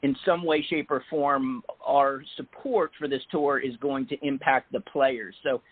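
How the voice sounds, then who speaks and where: A man being interviewed as a guest, explaining things in a measured way with frequent "uh"s and "um"s. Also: medium pitch, fairly even intonation, slightly rough. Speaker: guest, sports executive. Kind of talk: interview answers. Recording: phone-like narrow band audio.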